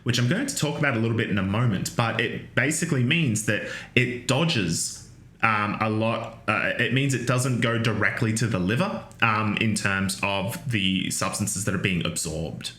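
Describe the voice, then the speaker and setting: A man lectures on anatomy with a bright, forward tone. He talks casually, trailing into fillers and restarts, and drops in joking asides. The sound carries the echo of a large room. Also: lowish voice, moderate pace. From Australia. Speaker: university lecturer.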